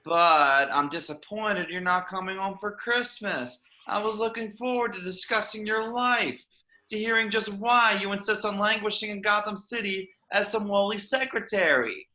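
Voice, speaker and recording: A man's voice: sits high, moderate pace, sharp tone. A man voicing a nagging, disappointed older mother leaving a phone message in a table read. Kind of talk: amateur table read acting. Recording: echoey room, home microphone.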